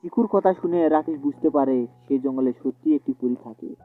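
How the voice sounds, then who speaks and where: A man's voice: on the high side, normal speed. A man narrating a scripted fairy tale as the storyteller. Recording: tinny phone-like audio. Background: soft music.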